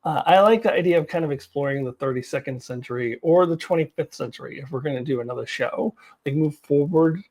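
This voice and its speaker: A woman's voice: low voice, fast, few pauses, a little mellow. A woman giving casual, opinionated commentary as a vlog host, with a wry, blunt, exasperated and giggly manner.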